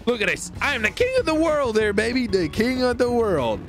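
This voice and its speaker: A man giving excited, playful gaming commentary in a theatrical way, often exclaiming and repeating his phrases. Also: very high voice, a little fast, faint music behind. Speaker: gamer and video host.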